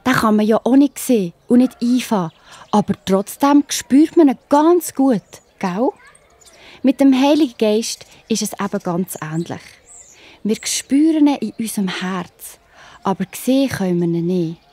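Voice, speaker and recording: A woman's voice: a little high, expressive, measured pace, mellow, breathy edge. A woman with a Swiss accent narrating a children's story, speaking directly to the young listener with wonder.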